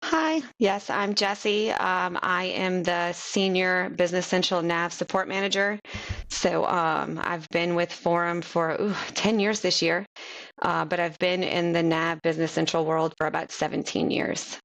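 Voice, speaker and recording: Chatty Southern woman with a gravelly low voice. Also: fairly even intonation, measured pace, fairly bright tone. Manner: chatty, laughs easily, playful. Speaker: guest, software support manager. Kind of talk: casual video-call chat. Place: corner of a child's bedroom at home.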